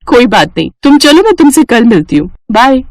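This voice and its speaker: A woman's voice: on the high side, big pitch swings, normal speed, slight background noise, pitched above the performer's own voice. A woman voicing a sweet, eager young daughter-in-law in a moral story. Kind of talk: animated Hindi story dialogue.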